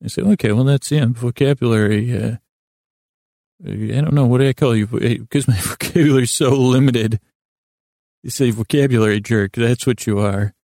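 Male sleep-podcast host, mellow and very creaky, stammering through lighthearted, self-deprecating tangents. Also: mid-range voice, no echo, studio quality. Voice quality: gravelly, creaky. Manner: halting, meandering, self-interrupting, stammering delivery. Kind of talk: rambling bedtime sleep podcast monologue.

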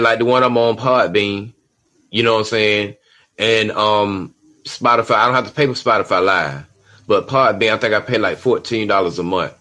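A man's voice: fairly low pitch, a bit bright. Emphatic man podcasting. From Georgia, USA. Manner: emphatic, preachy, streetwise, drops "you know what I'm saying" constantly. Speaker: podcast host. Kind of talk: solo podcast monologue.

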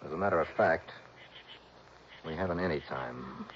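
An actor in a vintage radio drama playing a tough male investigator, calm, clipped and dry. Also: a bass voice, normal speed. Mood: steady. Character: tough, cool-headed male private investigator. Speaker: insurance investigator lead. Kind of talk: 1960s radio drama acting and narration. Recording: dull vintage radio broadcast, faint hiss.

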